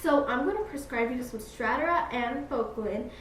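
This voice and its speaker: A woman's voice: high-pitched, expressive, moderate pace. A young woman playing a grumpy, blunt dwarf psychologist who talks in an exasperated, clinical way in a student skit. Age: young adult. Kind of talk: student skit, scripted diagnostic monologue. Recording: roomy, distant camera mic with hiss.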